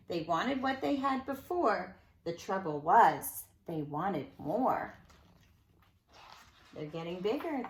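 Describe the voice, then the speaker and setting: A woman reading a picture book aloud to young children in an expressive storytelling style, with dramatic emphasis and conversational asides. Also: medium pitch, slight background noise. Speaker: nursery school teacher reading a storybook aloud. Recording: echoey room sound.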